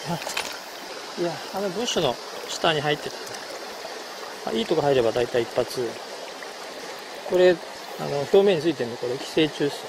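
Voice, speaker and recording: A middle-aged man talking casually while fishing a river outdoors, pausing often with fillers. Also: fairly high pitch, noisy background. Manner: relaxed, many hesitation fillers. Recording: loud background music outdoors.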